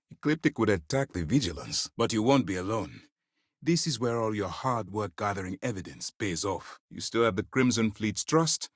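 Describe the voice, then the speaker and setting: A stern military commander from a video game, with a low voice that moves widely between measured restraint and force. He phrases things formally and with authority, in a close, dry recording. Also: fairly bright tone, no room sound. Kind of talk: scripted video game dialogue.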